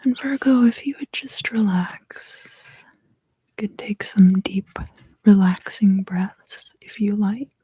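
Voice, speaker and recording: A woman giving an ASMR tarot reading in a hushed, soothing, husky voice that often slips into a whisper, close to the microphone. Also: mid-range voice, dry recording, low-quality recording. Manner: slow, soothing, hushed guided delivery. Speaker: tarot card reader and host.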